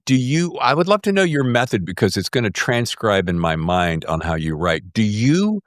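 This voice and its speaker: A man's voice: on the low side, very animated, moderate pace, no room sound, studio quality. A man hosting a podcast, interviewing in a casual, conversational and emphatic way, acting out little imagined quotes.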